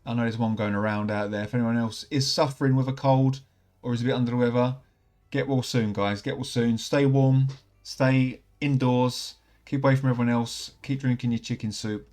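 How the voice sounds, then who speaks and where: Chatty man with a croaky cold. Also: mid-range voice, steady tone, moderate pace, darkish tone. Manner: chatty, upbeat, rambling with many fillers. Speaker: gaming channel host. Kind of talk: casual commentary while opening game packs.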